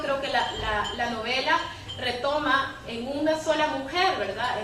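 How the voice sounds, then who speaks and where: A woman giving spontaneous, explanatory panel commentary, often closing phrases with a tag question. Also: fairly high pitch. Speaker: panelist from a women's rights organization. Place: echoey room at a public debate. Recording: very echoey room, distant mic, faint noise.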